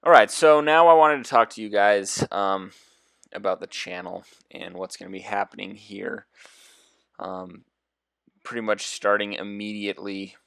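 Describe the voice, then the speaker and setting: Brief: young adult man, deep and soft-spoken, laid-back game commentary full of "um"s, lowish pitch. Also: measured pace, gravelly, smooth, dry recording. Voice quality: deep, quiet voice. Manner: relaxed, rambling, thinks aloud with fillers. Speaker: gameplay video host. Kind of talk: casual gameplay commentary.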